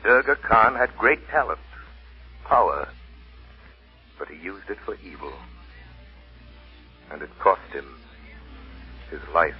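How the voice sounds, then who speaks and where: An actor playing a stern, solemn crime fighter in old radio drama, speaking with measured authority. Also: male voice, low voice, sing-song, soft background music, heavily acted. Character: commanding, stern unseen male crime fighter. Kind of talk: 1940s radio drama acting. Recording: dull old radio recording.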